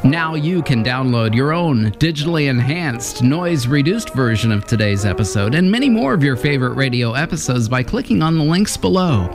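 A man's voice: medium pitch, expressive, moderate pace, few pauses. A man in his fifties hosting a retro radio show, reading an upbeat scripted introduction into a studio mic. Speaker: host. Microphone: studio mic on arm. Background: music.

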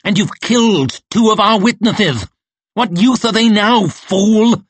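A man voicing a bitter, crippled male torturer who talks in a low, quiet, cutting way. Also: sing-song, a little slow, slightly rough, dry recording. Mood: menacing.

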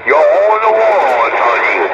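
A man's voice: fairly high pitch, big pitch swings, moderate pace. Muffled man on very noisy CB radio. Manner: slangy, repetitive radio catchphrases. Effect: CB radio transmission. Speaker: CB radio operator. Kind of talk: CB radio banter.

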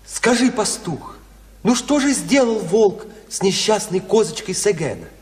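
Naive childlike boy, high voice, animated intonation, pestering, radio play. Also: a little slow. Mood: curious, pleading. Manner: pleading, eager questioning. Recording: echoey hall radio drama recording.

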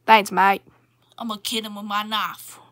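Woman putting on a brash, bright-voiced Aussie bloke. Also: mid-range voice, little pitch movement, moderate pace, close to an everyday voice. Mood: menacing, cheerful. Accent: Australian, put on. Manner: brash, matey, repetitive slangy phrasing. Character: Australia as a cheerful, knife-happy Aussie bloke. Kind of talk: improvised comedy character skit.

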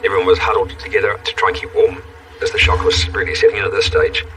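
A man's voice: low voice, huge pitch swings, fast talker. A man who survived a plane crash, telling his story in a plain, reflective way in a documentary interview. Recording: distant mic with music.

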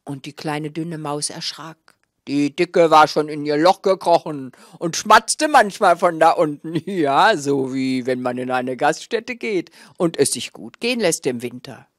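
An older woman storyteller, telling a fairy tale live in a theatrical, expressive manner into a handheld microphone. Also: low-pitched, a little slow, no room sound, clean studio sound. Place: small indoor café room with a seated audience. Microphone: handheld microphone held close.

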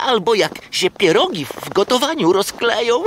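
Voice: medium pitch, huge pitch swings, measured pace, a bit bright, a little hiss or hum. An actor voicing a timid, naive, giggly young boy elf in a children's audio drama.

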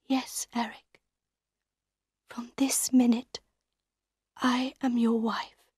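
A woman voicing an anguished, tender young female captive in an audiobook, slow, emotional and pleading. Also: a little high, flat delivery, darkish tone, slightly rough, no room sound, clean studio sound, pitched above the performer's own voice, heavily acted. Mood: quiet, pitying. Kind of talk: audiobook character voice.

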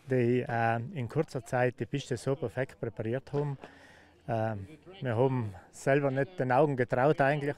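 An older male organizer speaks proudly and with enthusiasm outdoors at a ski slope. His voice sits at an ordinary pitch for a man, caught on a handheld mic. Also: darkish tone. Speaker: race organizer. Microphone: handheld interview mic.

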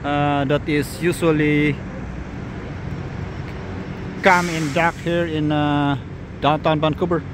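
A man casually narrating his walk along a cruise dock while filming, speaking haltingly with many fillers and non-native grammar. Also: on the high side, little pitch movement. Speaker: travel videographer. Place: outdoors at a busy city dock. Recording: loud outdoor traffic noise.

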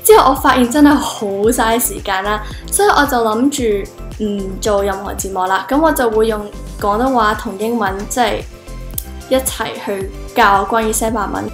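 A woman's voice: fairly high pitch, normal speed. A teenage girl who grew up speaking Cantonese, earnestly hosting a casual language lesson to camera and mixing in filler words. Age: teens. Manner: earnest, switching between English and Cantonese with frequent fillers. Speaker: language channel host. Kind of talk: casual teaching vlog. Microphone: camera at close range facing her. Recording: echoey room with faint music.

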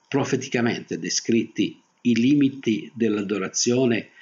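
An older man preaching a sermon as a pastor, phrasing deliberately with pauses. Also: lowish voice, darkish tone. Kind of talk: Protestant sermon. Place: small indoor room, seated at a desk. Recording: dull, muffled webcam audio.

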